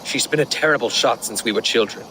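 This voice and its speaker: An actor playing a proud, loyal Viking jarl in a video game, speaking in a solemn, formal manner. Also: male voice, mid-range voice, quick pace, bright, forceful tone, a little hiss or hum, natural voice, rough recording. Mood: troubled.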